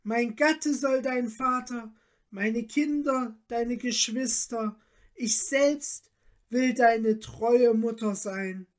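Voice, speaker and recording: A woman reader puts on a noble countess from a storybook, lifted high in pitch. She speaks very slowly in solemn, courtly phrases. Her temper is gracious and full of feeling. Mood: grateful, solemn. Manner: courtly, old-fashioned, solemn diction. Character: gracious noble countess, deeply moved and grateful.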